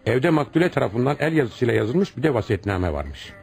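An actor playing a stern, commanding police commissioner in a radio play, questioning suspects brusquely and with authority. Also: male voice, mid-range voice, very animated, a little fast, gravelly. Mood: commanding, brisk. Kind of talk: radio theatre acting.

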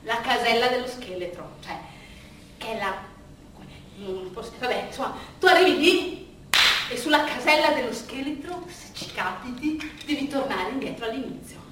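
An actress playing an agitated, rambling woman in a bittersweet theatre monologue, explaining in a halting, repetitive, animated way to her audience. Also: on the high side, natural voice. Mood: excited, wry. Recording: roomy, distant stage recording, faint noise.

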